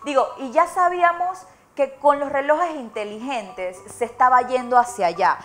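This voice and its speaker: A female news co-presenter with a fairly high voice, talking casually and expressively. Soft music runs under her speech in the studio. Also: normal speed. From Panama. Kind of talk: studio banter.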